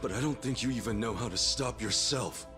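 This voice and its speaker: A man's voice: medium pitch, brisk, music in the background, natural voice. Man acting an earnest, resolute game hero. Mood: sad, resolute. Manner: earnest, pleading, reproachful. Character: loyal, resolute man confronting his former young master. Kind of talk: dramatic video game cutscene acting.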